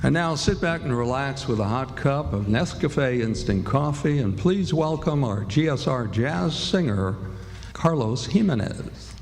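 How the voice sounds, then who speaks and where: A man's voice: mid-range voice, animated, unhurried, heavy background noise. A man announcing a live old-time radio show, reading scripted introductions and commercials. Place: live stage in a hall. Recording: reverberant hall, music behind.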